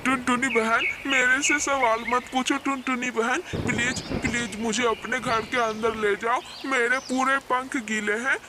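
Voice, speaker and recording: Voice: medium pitch, steady tone, moderate pace, hardly any pauses, lightly acted. A performer voicing a lazy male crow in a Hindi children's cartoon, with a whiny, dramatic delivery that repeats itself. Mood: pleading, urgent. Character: lazy, grumpy male crow who turns pleading. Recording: very noisy track with background ambience.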